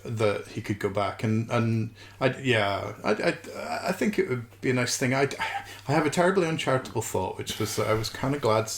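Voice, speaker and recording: A man's voice: mid-range voice, animated, moderate pace. A man co-hosting a podcast, chatting casually in a wry, nitpicking way. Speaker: podcast co-host. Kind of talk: casual fan discussion.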